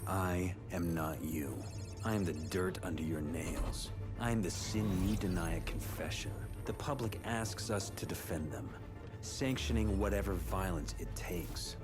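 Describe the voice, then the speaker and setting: Grim, obsessive vigilante cop for a game cutscene: low, cold, menacing, over loud music. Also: male voice. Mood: bitter, brooding. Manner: brooding, menacing, deliberate. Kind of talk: dramatic scripted video game cutscene monologue.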